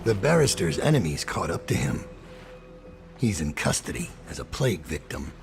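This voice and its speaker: A grim, gravelly assassin leader. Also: male voice, very deep voice, sing-song, moderate pace, soft background music, heavily acted. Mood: terse.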